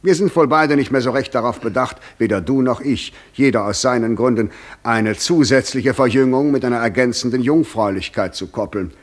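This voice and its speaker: An actor playing a suave, teasing, sardonic Satan in a German radio play, with wry, polished phrasing. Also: male voice, medium pitch, a little fast, natural voice. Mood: wry, reflective.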